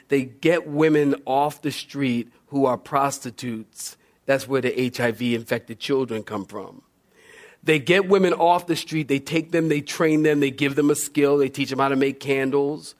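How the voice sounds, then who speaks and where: A man, a pastor preaching through animated, humorous, self-deprecating storytelling, acting out dialogue as he goes. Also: mid-range voice. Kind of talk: sermon storytelling with re-enacted dialogue. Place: church, recorded live.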